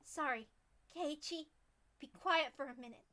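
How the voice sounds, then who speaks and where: A woman's voice: high-pitched, a little slow, sharp tone. A woman voicing a protective teenage girl, intense and emotional, both tender and fierce, in a dramatic visual novel reading. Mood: hostile.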